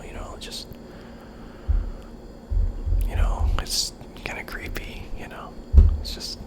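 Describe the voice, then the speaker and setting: A young adult vlogger telling a story in a rambling whisper, sounding tired and soft-spoken, with lots of fillers. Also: rough recording.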